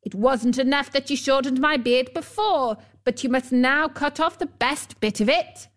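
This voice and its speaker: A woman's voice: normal speed, lightly acted. Woman voicing a screechy, ill-tempered little dwarf man. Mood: indignant, scolding. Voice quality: screeching, shrill. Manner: scolding, melodramatic exclamations. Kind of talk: fairy-tale storybook character voice.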